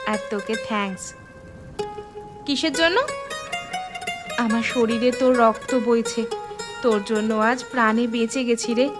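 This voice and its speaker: A woman's voice: on the high side, lightly acted. A woman voicing a caring young village woman chatting familiarly with a close friend in a cartoon. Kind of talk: cartoon dialogue.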